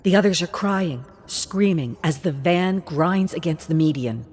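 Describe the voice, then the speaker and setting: Female narrator, low-pitched and unhurried, for intense dramatic story narration. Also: expressive, a little mellow.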